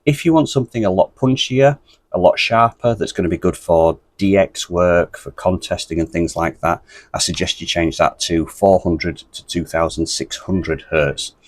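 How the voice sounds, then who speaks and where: A British man presenting a step-by-step ham radio tutorial in a deep, bassy voice, steady and methodical with a few ums. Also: moderate pace, dry recording. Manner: steady, methodical, explains with fillers.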